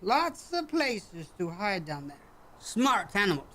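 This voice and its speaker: Formal, measured alien villager, acted out. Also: medium pitch, very animated, a bit bright. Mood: grave, calm. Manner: formal, measured, stilted phrasing. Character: alien villager hunter, calm, formal leader of cave-dwelling people. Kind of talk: sci-fi radio play acting.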